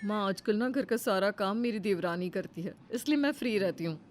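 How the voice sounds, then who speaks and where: A woman's voice: medium pitch, lively intonation, a little fast, a little hiss or hum, close to an everyday voice. A woman voicing a crafty, boastful elder daughter-in-law chatting away in a moral story. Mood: smug.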